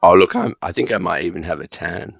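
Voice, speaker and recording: A man's voice: low voice, expressive, fairly quick, vocal fry, fairly bright tone, breathy edge, dull audio. A man hosting a podcast, joking and rambling in easy banter. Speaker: podcast host. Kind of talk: casual podcast chat.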